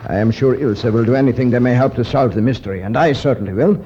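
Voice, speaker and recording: An actor playing an earnest, excitable middle-aged archaeologist father, scholarly and exclamatory, in 1950s radio adventure style. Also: medium pitch, fast, close to an everyday voice. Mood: earnest, concerned. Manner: scholarly, exclamatory, repeating words. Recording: muffled, noisy 1950s radio recording.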